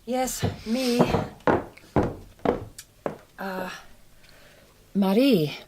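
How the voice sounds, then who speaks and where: An actress playing an earnest, naive young migrant farm worker with a Hungarian accent, in a naturalistic radio drama. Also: female voice, on the high side, drawn-out words, background noise. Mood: apologetic, earnest.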